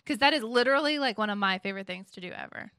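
A woman's voice: on the high side, lively intonation, rapid-fire, sharp tone, no room sound, clean studio sound. A woman, co-hosting a podcast and chiming in with short agreements. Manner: chimes in with short agreements and laughs. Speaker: podcast co-host. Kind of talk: casual podcast chat.

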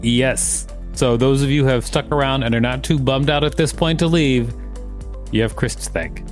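A man's voice: medium pitch, normal speed, soft background music. A man podcast co-host reading an encyclopedia article aloud and adding commentary.

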